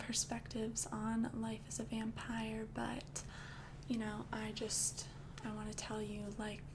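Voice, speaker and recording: A young woman voicing a caring young vampire girlfriend, mostly whispering in a casual, rambling way, recorded close with loud hiss behind. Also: a little high, creaky. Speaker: ASMR creator. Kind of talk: ASMR roleplay.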